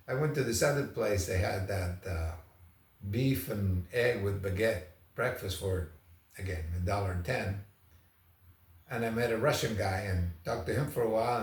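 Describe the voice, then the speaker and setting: A man from Argentina talking casually as a travel vlogger, in a rambling, conversational, anecdotal way. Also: fairly low pitch. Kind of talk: casual first-impressions vlog talk. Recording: roomy echo, distant microphone.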